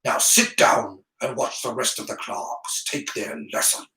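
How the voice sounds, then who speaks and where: A man voicing a cold, sneering, angry schoolteacher in an audiobook story, slow and full of contempt. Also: mid-range voice, gravelly, bright, forceful tone. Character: sneering, cold, angry adult male potions teacher. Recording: roomy echo.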